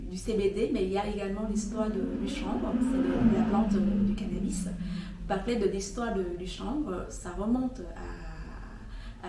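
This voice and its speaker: A woman in her thirties from Vietnam, a native Vietnamese speaker with a Vietnamese accent, explaining informally in an interview as a brand founder, hesitant and often pausing to search for words. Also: medium pitch. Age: 30s. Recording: roomy echo, distant mic, faint noise.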